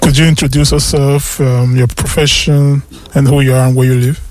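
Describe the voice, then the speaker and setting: Man interviewer with an even delivery. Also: medium pitch, normal speed. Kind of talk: interview questions.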